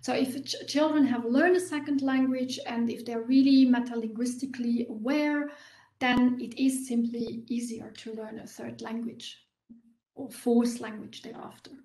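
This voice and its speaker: A woman's voice: fairly high pitch, fairly even intonation, normal speed, warm tone, roomy sound, rough recording. A woman professor answering audience questions at a university talk, explaining thoughtfully with frequent fillers and restarts.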